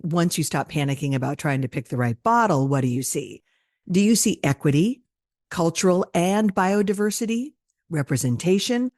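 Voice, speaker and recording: A woman's voice: lowish voice, a little mellow, no echo, clean studio sound. A woman co-hosting a podcast, reading a scripted welcome and then asking her guest questions. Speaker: podcast co-host.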